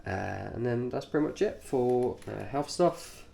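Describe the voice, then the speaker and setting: A man with a nasal voice, congested from a cold, talking through his cycling kit informally and haltingly, in short offhand phrases. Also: medium pitch, lively intonation, gravelly, background noise. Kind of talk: informal vlog, kit walkthrough.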